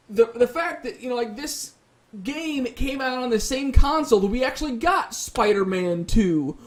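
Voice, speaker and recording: A man hosting a casual debate about video games, arguing his points in a rambling, opinionated way. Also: high voice. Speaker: host of a debate show.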